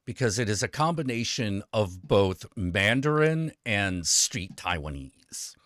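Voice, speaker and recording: Lively man with a dry, close sound. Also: on the low side, measured pace, dry recording. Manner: lively, rambling, laughs easily. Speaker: host. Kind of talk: casual chat.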